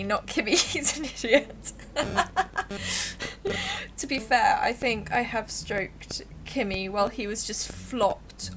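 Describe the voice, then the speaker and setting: A woman livestreamer giving casual, expressive, giggly game commentary and reading the game's text aloud. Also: a little high, noisy background. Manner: giggly, expressive, reacts out loud.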